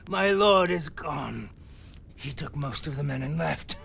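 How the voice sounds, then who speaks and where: An actor playing a wounded, defiant captive who mocks his captors slowly between sighs and laughs in a game drama. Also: fairly high pitch, creaky, fairly bright tone, slight background noise, heavily acted. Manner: slow, taunting, breaking into sighs and wheezy laughs. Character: wounded captive henchman, mocking, defiant, resigned to death. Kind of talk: dramatic video game acting.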